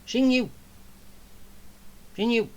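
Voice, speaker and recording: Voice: mid-range voice, drawn-out words. A game streamer giving casual playthrough commentary, joking along and reacting to the dialogue.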